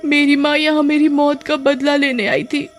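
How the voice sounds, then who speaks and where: A woman performs a vengeful dead girl inhabiting a doll in a horror tale. The voice sits high, theatrical and threatening, full of bitter grudge. Music plays underneath. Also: level tone, close to an everyday voice. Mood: bitter, aggrieved. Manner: theatrical, menacing, drawn-out threats. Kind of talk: dramatized horror story acting.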